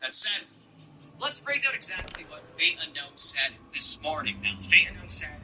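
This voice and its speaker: A man's voice: a little high, very animated, moderate pace, pushed and bright, a little hiss or hum, rough recording. A man giving casual video game news commentary as a YouTuber, informal and slangy, speaking straight to his viewers.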